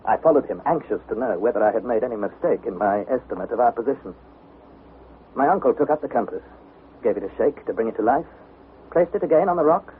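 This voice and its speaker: An actor playing an earnest, anxious young nephew who narrates and acts in a 1960s radio drama. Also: male voice, mid-range voice, natural voice. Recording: tinny, noisy vintage radio recording.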